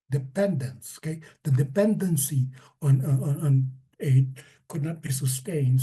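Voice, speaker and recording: A middle-aged man from the Philippines speaks on a career panel in a fairly high, husky voice. His tone is mellow and relaxed, and he talks conversationally with playful asides. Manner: conversational, asides and self-deprecating jokes. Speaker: panelist, development consultant. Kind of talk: personal career talk at an info session.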